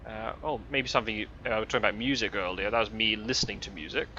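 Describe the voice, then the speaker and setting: A man's voice: on the low side, rapid-fire, pushed and bright, highs cut off, a little hiss or hum. A man in his twenties with a mixed English and Scottish accent, chatting casually while he drives a truck and rambling through lots of fillers and restarts. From Scotland. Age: 20s. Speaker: vlogger. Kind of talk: casual chat while driving. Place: inside a truck cab.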